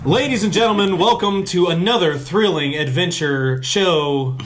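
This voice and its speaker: Brief: young male podcast co-host, loose jokey banter, quick to laugh at himself. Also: high-pitched, noisy background. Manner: jokey, self-deprecating, laughs often. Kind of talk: casual chat. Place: sitting on a bench.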